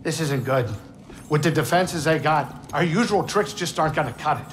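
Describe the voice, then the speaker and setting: An actor playing a gruff, wary little alien pilot in a cinematic game, with a grumbling, animated delivery. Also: male voice, a little high, moderate pace, a little hiss or hum, lightly acted. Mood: wary, alarmed.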